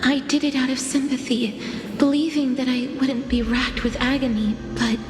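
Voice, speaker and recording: A woman playing a solemn, gentle, troubled young woman in a video game, speaking in formal, measured, old-fashioned phrases. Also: high voice, level tone, natural voice. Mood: sorrowful, pensive. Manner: formal, archaic, measured diction. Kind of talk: dramatic video game dialogue. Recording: echoey hall reverb, loud music. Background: loud game music.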